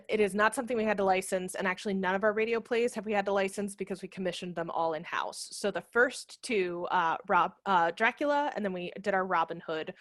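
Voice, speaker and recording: A woman in her thirties explaining things in a casual, animated, conversational way as a guest on a video call, speaking into a headset. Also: mid-range voice, no echo, studio quality. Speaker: guest, theatre managing director. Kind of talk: casual explanatory talk in an online session. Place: brick-walled room at home, indoors. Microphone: headset microphone on a video call.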